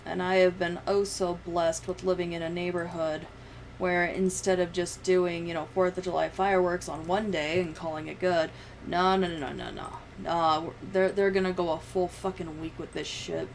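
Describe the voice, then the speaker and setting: A young woman streamer talks casually in a fairly low, gravelly voice. She rambles in a loose, sweary way close to the mic. There is clear ambient noise behind her. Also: level tone, moderate pace, poor audio. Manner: rambling, profane. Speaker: livestreamer. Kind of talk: casual livestream chat while editing.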